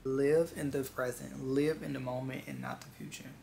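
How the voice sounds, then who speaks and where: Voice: medium pitch, steady tone, moderate pace, gravelly, warm tone, slight background noise. A vlogger in their twenties chatting casually and reflectively to viewers in an earnest, confiding way, repeating phrases for emphasis. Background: background music.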